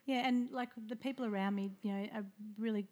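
A woman's voice: on the high side, steady tone, quick pace, a little mellow. A middle-aged woman, a local councillor, telling her story in a casual, chatty interview with many fillers and restarts. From Australia.

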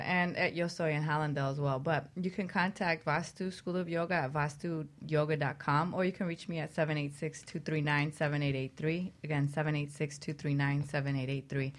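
A woman's voice: sits low, steady tone, brisk, hardly any pauses. A woman who teaches yoga, chatting casually on a radio show.

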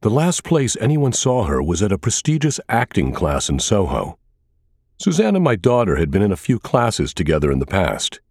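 A man reading a scripted first-person thriller as an audiobook narrator, with a measured, dramatic delivery. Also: on the low side, huge pitch swings, darkish tone, dry recording, studio quality.

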